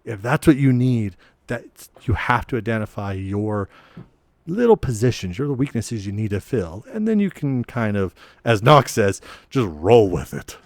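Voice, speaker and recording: A man co-hosting a casual podcast, animated and self-deprecating, who restarts his sentences a lot. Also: lowish voice, moderate pace, no echo. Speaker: podcast co-host and game master.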